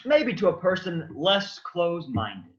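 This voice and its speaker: A man's voice: high-pitched, big pitch swings, a little slow. A man playing an earnest, argumentative man who wants to die, in a staged reading with dull sound. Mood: righteous. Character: earnest, argumentative, despairing man set on dying.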